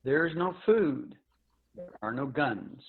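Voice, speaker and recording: A man's voice: on the high side, animated, takes it slowly. A man playing an old, weary space ranger general, speaking solemnly in a dramatic reading over a video call. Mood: solemn, resigned. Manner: solemn, declamatory. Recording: tinny, narrow-band video call.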